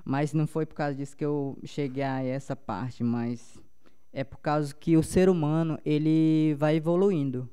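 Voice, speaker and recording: A young man with a Paumari accent, a native Paumari speaker, giving a halting talk at a panel with many restarts. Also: on the high side, steady tone, mellow, clean tone.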